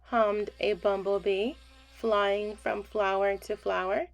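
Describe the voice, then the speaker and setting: A woman reading a children's bedtime story aloud in an expressive, deliberate way with pauses. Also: mid-range voice, creaky, low-quality recording. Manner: slow deliberate phrasing with pauses, hushing on refrains.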